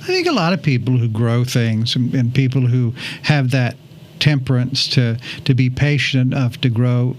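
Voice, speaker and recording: A male podcast host with a mid-range voice talks in a casual, conversational way. His phrasing is reflective and halting as he puts questions, and there is faint noise behind him. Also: medium pitch, expressive.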